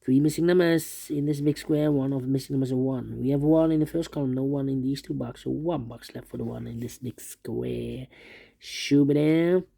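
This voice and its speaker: Gravelly-voiced man calmly talking through a puzzle. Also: medium pitch, normal speed. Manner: hesitant, many uh fillers, repeats numbers aloud. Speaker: sudoku instructor. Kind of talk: solving a puzzle step by step while narrating.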